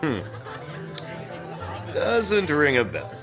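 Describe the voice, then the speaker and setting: An actor playing a tipsy, sardonic drinking companion who teases flippantly in an audio drama, faint bustle behind. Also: male voice, a little high, wildly swooping pitch, extremely slow, music in the background, a crowd in the background, exaggerated voice. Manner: teasing, slack, sardonic.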